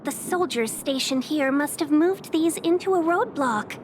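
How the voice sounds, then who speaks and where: A woman voicing a polite, playful pilot guide in a video game, courteous and measured as she gently coaxes. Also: high-pitched, lively intonation, slight background noise. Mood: coaxing, encouraging. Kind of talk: scripted game dialogue.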